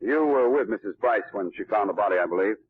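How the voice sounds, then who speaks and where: An actor playing a brusque, authoritative police commissioner who questions people in a clipped, commanding way, in 1940s radio drama style. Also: male voice, on the low side, lively intonation, fast talker, slight background noise, lightly acted. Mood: stern, curt. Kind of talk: 1940s radio mystery acting. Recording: muffled, dry 1940s radio recording.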